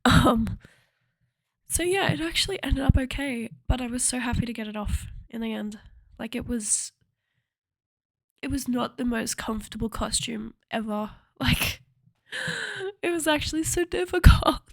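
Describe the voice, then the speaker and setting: Croaky, gravelly young woman. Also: on the high side, animated, normal speed, pauses often, no room sound. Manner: rambling, chatty, laughs often. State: a little croaky. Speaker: cosplay vlogger. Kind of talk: casual chat to camera.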